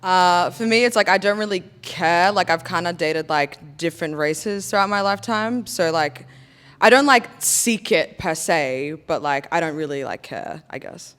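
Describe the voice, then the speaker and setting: A woman's voice: lowish voice, moderate pace, fairly bright tone, clean tone. A young woman sharing her views in a casual panel discussion, talking reflectively with lots of "like" fillers. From Australia. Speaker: panel guest.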